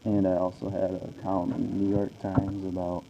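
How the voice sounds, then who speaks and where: Man playing a low, flat-voiced 1940s ballplayer. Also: moderate pace, creaky, dull audio, noisy background. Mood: earnest, matter-of-fact. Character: famous 1940s male baseball player being interviewed. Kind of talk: amateur scripted radio interview.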